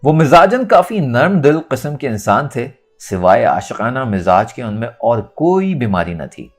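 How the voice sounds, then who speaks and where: Male narrator for serialized fiction, mid-pitched and highly animated, with music underneath. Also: measured pace. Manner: expressive storytelling. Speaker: audiobook narrator. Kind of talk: dramatic scripted novel narration.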